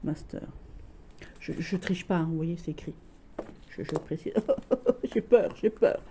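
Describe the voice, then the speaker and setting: Woman, Lorraine accent, lowish mellow voice, halting enthusiast; light background noise. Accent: Lorraine French. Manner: halting and gushing, many restarts and fillers. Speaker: tarot deck reviewer. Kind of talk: casual tarot deck showcase.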